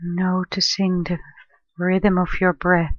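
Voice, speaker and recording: A woman leading a guided meditation in a gentle, soothing, unhurried manner, leaving long pauses between phrases. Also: lowish voice, monotone, dry recording, lo-fi sound. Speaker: meditation guide, life coach and therapist.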